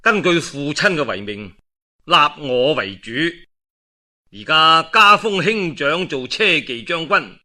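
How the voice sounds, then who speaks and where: A man voicing a wily, calculating strategist in a historical storytelling, measured and confiding, with a Cantonese accent. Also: medium pitch, pushed and bright, heavily acted.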